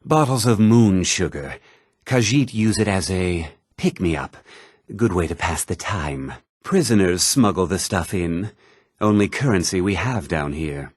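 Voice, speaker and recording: An actor voicing blunt, haughty men of a fantasy game world. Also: male voice, deep voice, animated, measured pace, husky, no room sound, studio quality, full character voice. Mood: gruff, blunt. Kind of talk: fantasy game character dialogue.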